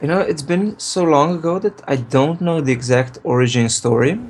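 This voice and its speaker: A man from Slovenia, a programmer, answering interview questions in a relaxed way, thinking aloud and correcting himself. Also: on the high side, moderate pace, slight background noise. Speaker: programmer and guest.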